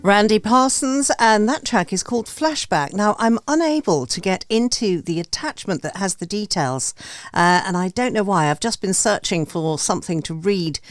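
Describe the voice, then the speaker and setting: A woman's voice: medium pitch, lively intonation, normal speed, dry recording. A woman hosting a panel discussion in an unscripted, conversational way. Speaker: host of music review panel.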